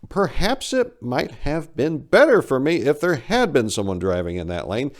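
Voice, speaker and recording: A man performing a short story as a wry, rambling, incredulous accident survivor, animated and emphatic in a conversational way. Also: fairly low pitch. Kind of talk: dramatic reading of a short story.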